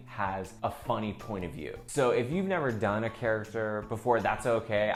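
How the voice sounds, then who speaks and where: A man with a fairly low voice gives an informal comedy lesson. He talks conversationally, sprinkling in "like" as he explains, with faint music underneath. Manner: conversational, peppered with "like". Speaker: comedian teaching character reels. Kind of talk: casual instructional talk.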